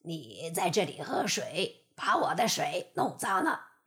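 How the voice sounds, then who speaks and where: A woman voicing a sly, cruel male wolf who talks in a low, sneering and menacing way. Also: big pitch swings, a little slow, a bit bright.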